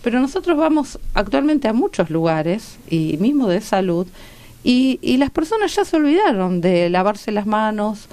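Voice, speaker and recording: A woman with a Rioplatense Argentine accent and a smooth, clean voice at an average pitch. She speaks as a medical guest in a radio interview, explaining things in a didactic, conversational way and checking in with little tag questions. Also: sing-song, moderate pace, warm tone. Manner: didactic, checks in with rhetorical tags. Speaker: guest doctor and medical researcher.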